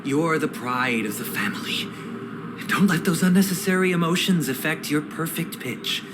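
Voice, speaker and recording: An actor playing a solemn, righteous winged brother in a video game, speaking in formal, measured, sermon-like phrases. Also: male voice, fairly high pitch, background noise, natural voice. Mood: grave concern. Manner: formal, measured, preachy with quotations. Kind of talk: scripted dramatic video game cutscene dialogue.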